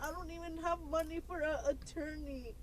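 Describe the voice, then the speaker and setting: High-voiced distressed woman, noisy recording. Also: fairly even intonation, normal speed. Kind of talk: personal plea in a video. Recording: clear background noise, ambience.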